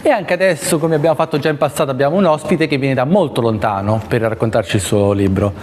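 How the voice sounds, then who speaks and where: A man with an Italian accent hosting an interview, asking questions in halting English with restarts. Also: medium pitch, a little mellow. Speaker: interviewer host. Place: book fair hall. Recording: reverberant hall with faint noise.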